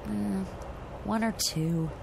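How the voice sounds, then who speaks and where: Uneasy young woman with creaky voice. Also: mid-range voice, slow, background noise. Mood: puzzled, sheepish. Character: anxious young woman stranded in eerie empty town. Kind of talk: radio drama acting.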